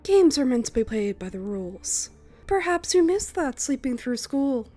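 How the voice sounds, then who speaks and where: A woman's voice: sits high, sing-song, moderate pace, dark tone, soft background music. A woman playing a cheeky young male traveling bard in a manga dub, speaking in theatrical, lilting rhymes. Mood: cheeky, defiant.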